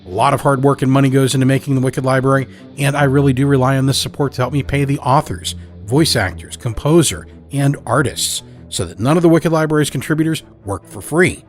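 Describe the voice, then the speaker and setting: A man delivering a scripted podcast introduction as host, in a measured, deliberate manner. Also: mid-range voice, faint music behind.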